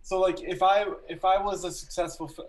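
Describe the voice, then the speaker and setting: A young man who first spoke Albanian joins a podcast over a video call from a small room at home. His voice sits a little higher than most men's, and he tells his stories in an easy, unhurried way, stopping now and then to gather his thoughts. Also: steady tone, poor audio. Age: young adult. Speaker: guest filmmaker. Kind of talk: casual interview chat.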